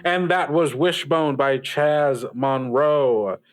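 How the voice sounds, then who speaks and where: A man's voice: medium pitch, slow pace, faint music behind, lightly acted. A man playing a hesitant, earnest small-town radio DJ, stammering and rambling as he chats casually to listeners. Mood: fond, musing. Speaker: radio host. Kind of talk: casual radio show chat, improvised.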